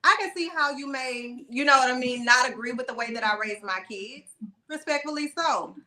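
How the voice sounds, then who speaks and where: Woman, panelist, bright tone, high pitch, reflective, casual discussion. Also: moderate pace.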